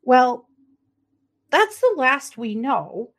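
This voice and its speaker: A woman's voice: sits high, sing-song, very slow, fairly bright tone. A middle-aged woman co-hosting a podcast, chatting casually and then recounting a true crime case with plenty of fillers and asides. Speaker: podcast co-host.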